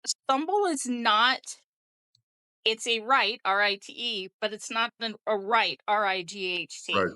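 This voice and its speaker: A woman's voice: on the high side, animated, a little slow, bright, forceful tone, smooth, dry recording. A woman in a casual group discussion, explaining things in a matter-of-fact way. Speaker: podcast panelist.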